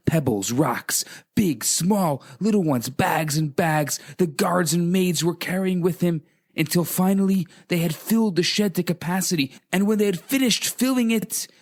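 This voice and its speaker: Slow-paced male rabbi telling stories. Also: high voice. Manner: deliberate phrasing with dramatic repetition. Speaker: rabbi, radio co-host. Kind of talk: conversational radio storytelling.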